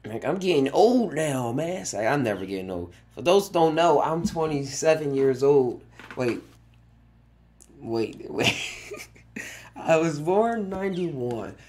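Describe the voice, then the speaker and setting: A man's voice: on the high side, sing-song, a little slow, darkish tone. A man in his twenties hosting a casual solo podcast, rambling with frequent chuckles and restarted sentences. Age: 20s. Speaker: podcast host.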